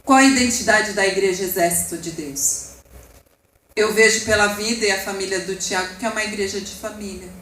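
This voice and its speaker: A woman's voice: mid-range voice, fairly even intonation, brisk, a bit bright, echoey room, low-quality recording. A woman with a Brazilian Portuguese accent preaching a sermon with passion, rising and falling and speaking asides to the congregation. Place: church hall.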